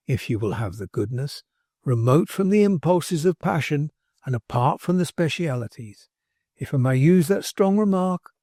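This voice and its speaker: A pompous, self-righteous old gentleman, put on by a man reading aloud, lays on a plaintive, wounded tone. His voice is mellow and slightly raised, and the sound is close and dry. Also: fairly high pitch, no echo, close to an everyday voice.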